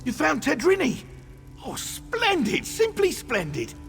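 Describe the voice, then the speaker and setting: A man voicing an excitable, chatty, self-satisfied scholar in a video game, with an exclamatory, theatrical delivery. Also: very high-pitched, measured pace, vocal fry, soft background music, exaggerated voice. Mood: delighted. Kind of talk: video game character acting.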